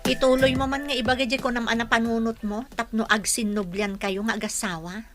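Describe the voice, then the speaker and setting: A woman's voice: a little high, steady tone, fairly quick, over music, lightly acted. A woman acting a practical, reproachful friend in an Ilocano radio drama, questioning and scolding, with an Ilocano Filipino accent. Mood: probing. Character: practical, reproachful working woman, the wife's confidante Sisa.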